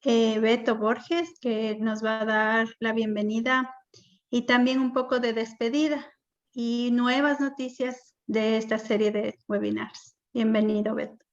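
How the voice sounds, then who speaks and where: A woman moderates an online webinar in a somewhat high voice. She welcomes people and gives practical instructions with a halting delivery. The sound is dull and lo-fi, as on a video call. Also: level tone.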